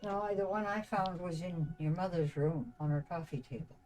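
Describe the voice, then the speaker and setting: Mellow low woman, off-mic. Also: normal speed, soft background music, poor audio. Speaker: person in the streamer's home. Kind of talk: casual household talk.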